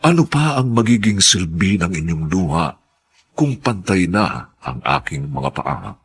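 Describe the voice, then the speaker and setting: Slow, gravelly Filipino radio drama announcer, man. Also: sits low, sing-song. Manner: deliberate, theatrical.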